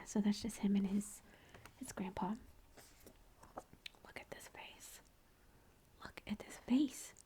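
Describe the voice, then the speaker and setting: A mother whispering softly as she shows family photos, gushing and chatty, close to the mic at home. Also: medium pitch, breathy edge. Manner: soft unvoiced whisper throughout, gushing and chatty. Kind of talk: whispered ASMR photo show-and-tell.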